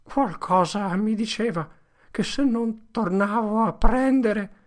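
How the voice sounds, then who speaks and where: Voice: sits high, lively intonation, measured pace, vocal fry, bright, forceful tone, voice pushed up, full character voice. An audiobook narrator voicing a frightened accused man who sobs and pleads that he is innocent. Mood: pleading, sobbing. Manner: tearful, pleading, broken. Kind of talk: audiobook character voice.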